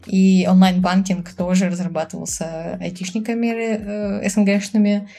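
A woman in casual conversation as a podcast guest. She speaks chattily, laughs often, fills pauses with hesitation sounds and acts out other people's lines. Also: mid-range voice, little pitch movement, gravelly, mellow, soft background music. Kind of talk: casual chat.

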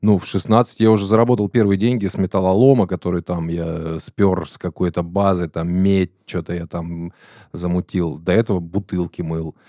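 Blunt low-voiced man in his late 30s. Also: flat delivery, normal speed, warm tone, no room sound, studio quality. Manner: blunt, slangy, storytelling. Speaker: podcast host. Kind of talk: casual podcast chat.

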